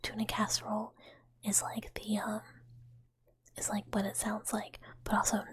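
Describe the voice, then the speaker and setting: A woman's voice: mid-range voice, completely flat delivery, normal speed, husky. A woman whispering through a rambling, playful ASMR chat with viewers, full of fillers. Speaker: ASMR streamer.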